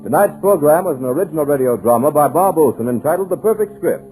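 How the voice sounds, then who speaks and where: A man reading the closing credits as a radio announcer, formal and even. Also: on the high side, quick pace, rough recording. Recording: old radio recording with music.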